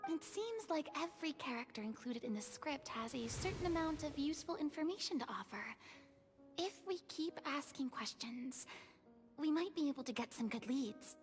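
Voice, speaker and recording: An actress voicing a small, excitable fairy-like companion in a video game, often exasperated and quick to comment. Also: female voice, high-pitched, faint music behind.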